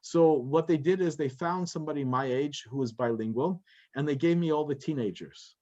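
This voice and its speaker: A man's voice: fairly high pitch, moderate pace, mellow, muffled recording. A middle-aged American man, a rabbi, chatting through a talk over a video call and chuckling as he goes. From United States. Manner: chatty, chuckles often between thoughts. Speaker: rabbi and guest speaker. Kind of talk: relaxed lecture Q&A.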